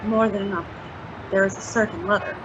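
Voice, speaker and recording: A woman playing a sly, flirtatious informant in a detective radio drama, coy, deliberate and insinuating. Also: medium pitch, fairly even intonation, close to an everyday voice. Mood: secretive. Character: sly, flirtatious female informant selling dangerous secrets. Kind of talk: hard-boiled detective radio drama, femme fatale. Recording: dull, noisy track with street ambience.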